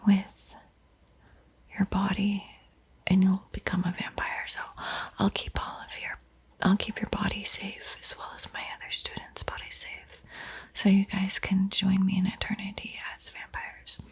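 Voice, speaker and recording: A woman playing a gentle vampire art teacher in a whispered ASMR painting roleplay, soothing and unhurried, lingering over words. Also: medium pitch. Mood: playfully reassuring. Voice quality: breathy, mostly unvoiced whisper.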